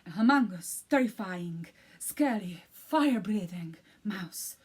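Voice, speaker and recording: A woman's voice: mid-range voice, lively intonation, takes it slowly, husky. A woman voicing a wealthy elephant who lives in a mansion and gives orders to his butler, in a storybook reading. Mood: hushed alarm. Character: rich elephant master of a mansion, easily scared.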